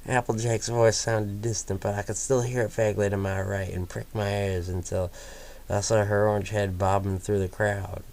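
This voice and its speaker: A man reading a first-person story aloud in a steady storytelling manner. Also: fairly low pitch, normal speed. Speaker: fanfiction reader.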